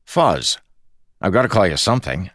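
Audiobook rogue, read by a male narrator: cocky, determined crew boss, brisk and insistent, wry edge, very dry recording. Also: low-pitched, sing-song, fairly bright tone, dry recording, exaggerated voice. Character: cocky, determined, irreverent dead man, crew leader.

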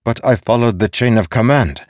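A man's voice: low-pitched, a little slow, slightly rough, dry recording, studio quality. A man voicing an earnest young warrior son in a fantasy audiobook, intense and frustrated as he defends himself. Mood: angry, exasperated. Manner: intense, indignant when challenged.

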